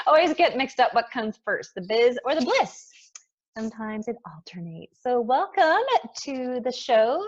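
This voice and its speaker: A Canadian woman hosting a podcast in a casual, excitable, slangy way, on slightly dull audio. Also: on the high side, measured pace. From Montreal, Canada. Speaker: podcast host. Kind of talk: casual chatty podcast interview.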